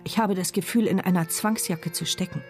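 A woman's voice: fairly low pitch, fairly even intonation, a little fast, a little mellow, faint music behind, lightly acted. An actress playing a paralysed, bitterly sarcastic woman who narrates her thoughts as an intense inner monologue in a radio drama. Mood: anguished.

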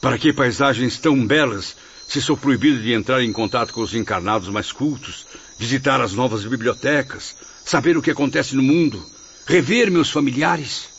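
A man's voice: medium pitch, normal speed, a bit bright, natural voice. An actor playing a proud, anguished, learned male spirit, formal and brooding, in a radio drama. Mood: bitter. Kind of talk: Portuguese-language radio drama acting. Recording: muffled lo-fi audio, night insects, noise.

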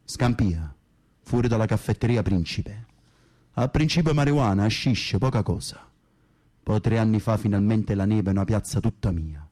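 An actor playing a tough, touchy young Camorra gangster in a radio drama, gruff, blunt and boastful, with a Neapolitan accent. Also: male voice, fairly low pitch, moderate pace, dark tone, natural voice. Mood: swaggering.

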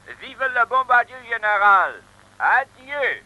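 A man's voice: very high-pitched, sing-song, slow pace, muffled recording, full character voice. An actor playing an officious, fussy French motorcycle policeman with a French accent in an old comic radio serial. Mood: grovelling, deferential. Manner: officious, fussy, rattling off demands. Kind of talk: 1950s-60s comic radio serial acting. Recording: noisy old radio recording.

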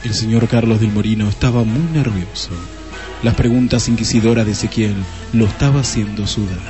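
A man's voice: fairly low pitch, level tone, fairly quick, over music, close to an everyday voice. An actor playing the narrator of a comic detective radio play, a man reading scripted storytelling in Spanish.

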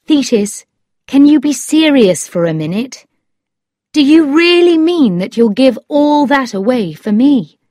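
A woman's voice: high-pitched, sing-song, a little slow, dark tone, no room sound, clean studio sound, voice pushed up. A woman playing an earnest, hesitant lord and ocean scientist in love, in a storybook reading. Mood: serious, pleading.

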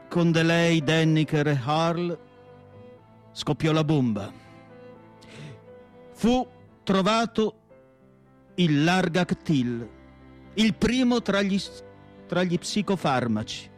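A male actor playing a tormented asylum psychiatrist in a solemn, deliberate radio monologue with dramatic pauses. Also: fairly high pitch, animated, soft background music. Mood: grave, emphatic. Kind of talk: dramatic literary monologue, theatrical radio acting.

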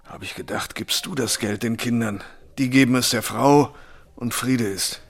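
An actor playing a breezy, generous, blustery middle-aged gentleman in a German radio drama, blunt and jovial. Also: mid-range voice, moderate pace, creaky. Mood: confiding.

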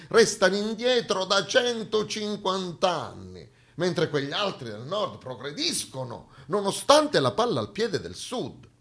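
A man's voice: a little high, fairly bright tone. Ironic man delivering a stage monologue. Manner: ironic, colloquial, rhetorical questions. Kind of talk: satirical theatre monologue to an audience. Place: theatre stage.

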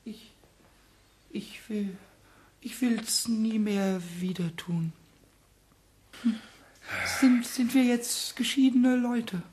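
A man playing a small childlike kobold in a children's radio play, squeaky and pleading, with halting, emotional speech. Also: a little mellow. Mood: contrite, tearful.

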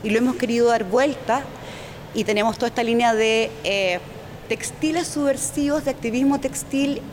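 A woman with a Chilean accent addresses a gathering as a union representative. Her voice sits a little high, and she explains things informally, often searching for the next word. The live recording carries a faint layer of background noise. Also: darkish tone. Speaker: domestic workers' union representative, speaker. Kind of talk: informal public presentation.

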